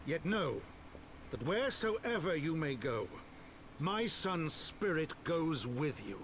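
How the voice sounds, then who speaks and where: A man's voice: a little high, big pitch swings, takes it slowly. An actor voicing a dignified, bereaved noble count in a game, stately and earnest in his solemn devotion. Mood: solemn blessing. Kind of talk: dramatic game voice acting. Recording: noisy game stream capture.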